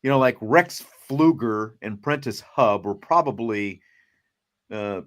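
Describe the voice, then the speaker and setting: A man's voice: mid-range voice, lively intonation, slow pace, fairly bright tone. An American man speaking as a sports talk show host, discussing teams in a casual, conversational style.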